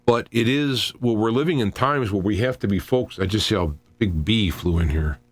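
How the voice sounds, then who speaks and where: Male talk radio host, middle-aged, lowish register, dry sound. Informal solo monologue, halting and digressive delivery. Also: no echo. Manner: halting, digressive, thinking aloud.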